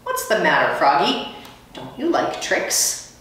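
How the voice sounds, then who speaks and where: A chummy, plain-spoken storybook janitor, played by a woman reading aloud, friendly but unsure of himself. The pitch moves in a lively way, and the room is very echoey. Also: slow pace, exaggerated voice. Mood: jovial. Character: friendly, self-doubting male school night custodian. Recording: echoey room, distant microphone.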